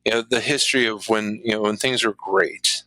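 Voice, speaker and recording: A man's voice: fairly low pitch, steady tone, fairly quick, pushed and bright, dry recording, low-quality recording. A middle-aged man from Chicago and southwestern Virginia, telling his story casually as an interview guest.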